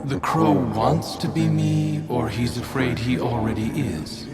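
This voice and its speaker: An actor playing a taunting, bitter spectre of a fallen prince in a video game, sardonic and theatrical. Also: male voice, medium pitch, unhurried, close to an everyday voice. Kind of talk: dramatic video game acting. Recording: loud music under the voice.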